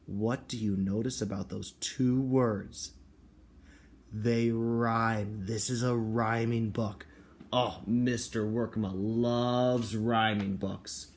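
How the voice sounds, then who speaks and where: A man's voice: fairly low pitch, steady tone, slow pace, a little hiss or hum. A man speaking as a kindergarten teacher, explaining and reading aloud to young children and parents.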